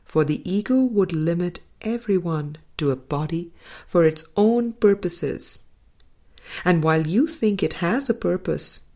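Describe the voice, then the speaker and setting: A woman reading a spiritual book aloud in a measured, deliberate manner, pausing between phrases. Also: lowish voice, a little mellow. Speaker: audiobook reader.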